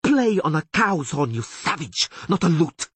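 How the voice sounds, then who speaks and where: A man's voice: medium pitch, very animated, moderate pace, slightly rough, pitched above the performer's own voice. A man reading a story, voicing a cruel, spiteful young female elf warrior who hisses her taunts. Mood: savage scorn. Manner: hissing, contemptuous taunts.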